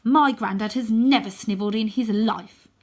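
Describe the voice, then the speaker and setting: A woman's voice: fairly high pitch, normal speed, close to an everyday voice. A woman voicing an earnest young boy in a storybook, scared but plucky and polite. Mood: indignant. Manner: hesitant, earnest pleading.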